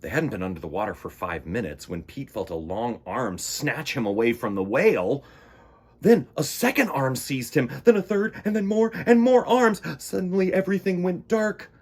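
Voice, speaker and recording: A male storytime host reads to children in a husky, mid-pitched voice. His delivery is theatrical and highly animated, leaning into dramatic emphasis as he narrates. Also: normal speed. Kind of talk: expressive picture-book narration for children.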